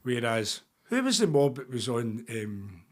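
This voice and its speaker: A man's voice: medium pitch, sing-song, unhurried. Jokey Scottish man hosting. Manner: jokey, chatty, leading questions. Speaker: podcast host. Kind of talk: casual interview chat.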